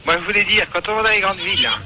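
A man with a rural western French accent calling a radio show, speaking in a halting, plain-spoken way. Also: sits high, steady tone, noisy background. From countryside near Nantes, France. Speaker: phone-in caller. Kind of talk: spontaneous phone conversation.

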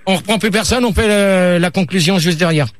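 A male radio host with a high voice, halting and filler-heavy as he steers a rowdy live debate. His studio sound is close and dry. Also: dry recording. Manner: hesitant, many fillers, trying to wrap up. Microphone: studio microphone.

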